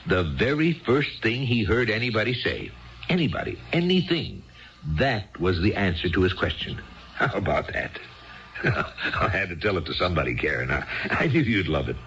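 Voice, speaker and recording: An actor playing a bookish, self-absorbed husband with a pedantic, theatrical manner, in a 1970s radio drama style. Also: male voice, sits low, very animated, normal speed, fairly bright tone, exaggerated voice. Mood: gleeful, fascinated. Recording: dull 1970s radio broadcast recording.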